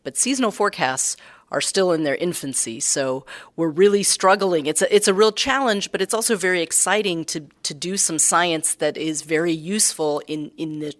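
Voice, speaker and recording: A woman in her fifties, a scientist in an engaged conversational interview who asks follow-up questions. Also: low-pitched. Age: 50s.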